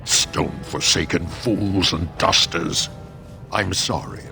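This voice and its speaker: An actor voicing a weary, exasperated dwarf steward with a formal, commanding manner in a fantasy game. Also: male voice, sits low, takes it slowly, creaky, bright, forceful tone, soft background music, exaggerated voice. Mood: weary, apologetic. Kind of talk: dramatic fantasy game acting.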